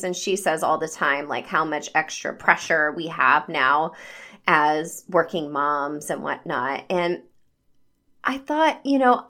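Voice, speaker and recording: A woman's voice: lowish voice, fairly bright tone. A woman in her forties hosting her own podcast, talking in a chatty, upbeat way with lots of "like" and "you know.". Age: 40s. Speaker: podcast host and habit coach. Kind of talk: casual solo podcast monologue.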